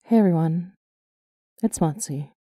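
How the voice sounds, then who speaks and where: A podcast host reading a serious, scripted announcement in a measured, news-like way. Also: medium pitch, mellow, dry recording, studio quality.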